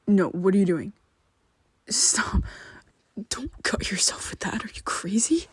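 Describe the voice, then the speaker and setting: A woman voicing a secretive, protective young male vampire best friend in an ASMR roleplay, talking haltingly and often dropping to a whisper. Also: medium pitch, very animated, slightly rough, no room sound, exaggerated voice. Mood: confused.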